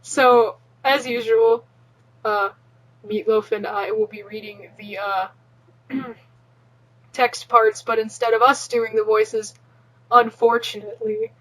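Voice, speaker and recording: A woman's voice: on the high side, steady tone, slow, pauses often, rough recording. A woman hosting a podcast, chatting informally and reading fan fiction aloud in an amateur, sweary, offhand way. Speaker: podcast host.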